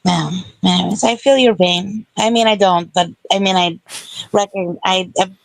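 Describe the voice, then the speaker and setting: A woman's voice: medium pitch, steady tone, normal speed, vocal fry, dry recording, rough recording. A woman talking in a laid-back, hesitant way during a casual group voice chat. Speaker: voice chat participant.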